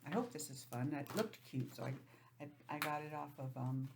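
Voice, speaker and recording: A woman teacher giving an informal, encouraging lesson to young children, sounding out letters and counting deliberately. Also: low-pitched, animated, creaky, background noise. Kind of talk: children's lesson, read-aloud introduction.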